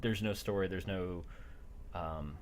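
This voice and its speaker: A man's voice: low-pitched, measured pace, crowd noise. A man chatting casually as game master of an online roleplaying game. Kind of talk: casual chat while running an online tabletop game.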